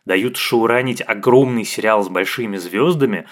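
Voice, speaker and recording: A man's voice: lowish voice, normal speed. A man in his early forties co-hosting a conversational discussion in an analytical, opinionated way. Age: early 40s. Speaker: podcast co-host.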